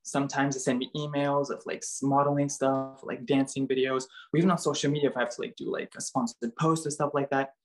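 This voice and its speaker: A man's voice: mid-range voice, little pitch movement, fast, hardly any pauses. A young man raised in Canada chats easily as an interview guest. His talk is loose and rambling, scattered with "like" and "um". His voice sits in the middle of the male range. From Bulgaria, raised in Canada. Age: young adult. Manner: chatty, many fillers like "like" and "um". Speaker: interview guest, model and dancer. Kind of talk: casual chat.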